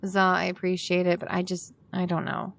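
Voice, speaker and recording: A woman's voice: lowish voice, gravelly, a bit bright, exaggerated voice. An actress playing a weary, frustrated young woman in an audio drama, talking in a casual, exasperated way. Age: young adult. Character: weary, frustrated young woman, sarcastic with her best friend.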